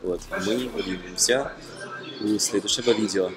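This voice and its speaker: A young man from Germany talking casually to camera as a non-native speaker, halting with frequent fillers and self-corrections. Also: lowish voice. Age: young adult. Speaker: language-learning vlogger. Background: background music.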